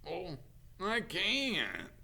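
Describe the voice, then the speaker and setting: Man acting out a soppy big gamekeeper, swooping pitch. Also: wildly swooping pitch, gravelly, bright, forceful tone, exaggerated voice. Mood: tearful, pleading. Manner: halting, drawn-out, sentimental crooning. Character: big gruff soft-hearted male gamekeeper, doting and tearful.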